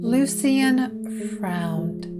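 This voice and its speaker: A woman's voice: medium pitch, lively intonation, drawn-out words. A woman narrator reading a scripted gothic story. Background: guitar music.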